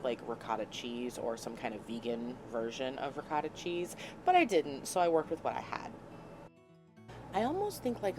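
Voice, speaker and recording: A woman hosting a casual cooking vlog from a small RV, slightly hoarse and scratchy from a cold, chatty and laughing at her own clumsiness. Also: sits low. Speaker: vlog host. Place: small RV kitchen. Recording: noticeable background noise.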